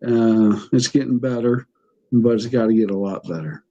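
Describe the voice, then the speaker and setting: Folksy, mellow-voiced podcast host. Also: male voice, medium pitch, normal speed, muffled recording. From United States. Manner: enthusiastic, folksy, laughs often. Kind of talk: casual interview chat.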